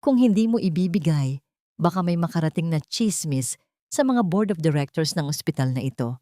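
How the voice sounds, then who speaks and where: A woman's voice: deep voice, expressive, moderate pace, dark tone, no echo, studio quality. A woman voicing a venomous, boastful male ex-lover who taunts and extorts in an audio drama. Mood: menacing, mocking. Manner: taunting, sneering.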